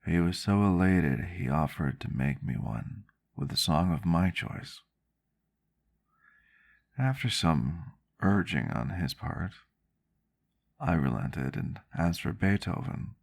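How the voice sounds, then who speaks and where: Man playing a low, gravelly vampire doctor. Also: very animated, full character voice. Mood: wistful. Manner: measured, reflective, old-fashioned phrasing. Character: male vampire doctor, ageless but youthful-seeming, reflective and gentle. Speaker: roleplay character telling a story. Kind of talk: ASMR roleplay storytelling.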